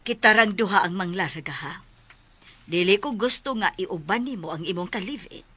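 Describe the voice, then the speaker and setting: A woman's voice: medium pitch, lively intonation, moderate pace, sharp tone, husky. An actress with a Cebuano accent playing a firm, controlling mother of a grown child in a radio drama. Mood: firm, commanding.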